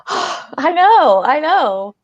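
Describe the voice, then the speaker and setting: A woman's voice: high voice, very animated, extremely slow, no room sound. Effusive woman podcast host, dull dry recording. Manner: effusive, encouraging. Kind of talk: casual interview chat with plugs.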